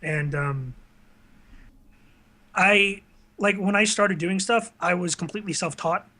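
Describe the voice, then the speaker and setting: A man's voice: high-pitched, slow pace, pushed and bright. A man hosting a casual talk show, speaking conversationally with an enthusiastic manner.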